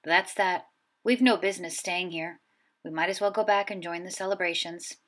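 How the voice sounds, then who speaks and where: A woman voicing an elderly male wizard headmaster who speaks calmly and dryly. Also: lowish voice, normal speed, lightly acted. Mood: resigned.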